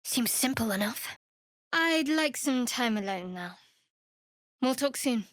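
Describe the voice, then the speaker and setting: A woman's voice: fairly high pitch, animated, slow pace, fairly bright tone, no echo. A woman playing a guarded, sardonic young female cleric in a video game, with a dry, aloof, clipped delivery. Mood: quiet, sincere. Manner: dry, aloof, clipped sarcasm with earnest undertones. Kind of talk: video game character voice acting.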